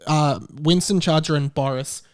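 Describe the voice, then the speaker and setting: A man's voice: a little high, normal speed, clear, resonant tone. A man as a comedy podcast guest, giggly and jokey, chatting casually and commenting on a story.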